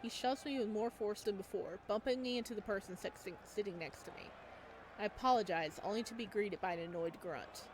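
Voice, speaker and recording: A woman's voice: medium pitch, brisk, noisy background. A woman reading a visual novel aloud as a let's play host, with casual, amused asides.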